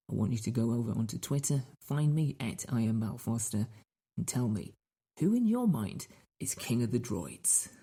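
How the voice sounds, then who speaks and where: A man's voice: medium pitch, mellow, breathy edge. A man hosting a podcast in casual, chatty style, playfully repeating phrases and putting rhetorical questions to listeners. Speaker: podcast host.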